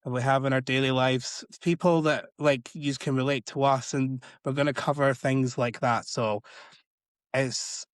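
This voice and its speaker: A man's voice: mid-range voice, steady tone, normal speed, no echo, clean studio sound. Chatty, rambling podcast co-host man. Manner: chatty, rambling with many likes. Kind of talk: casual chat.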